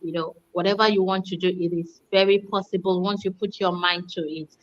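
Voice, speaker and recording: A woman's voice: lowish voice, steady tone, brisk, no room sound. A cheerful woman from Nigeria introducing herself on a video call, laughing easily and sounding thankful. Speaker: guest mentor. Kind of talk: livestream self-introduction. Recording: slightly dull audio.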